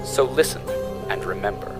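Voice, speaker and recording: A man's voice: on the low side, animated, moderate pace, lightly acted. A man reading a scripted, dramatic introduction as the announcer of an audio drama series. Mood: solemn. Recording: loud guitar music over voice.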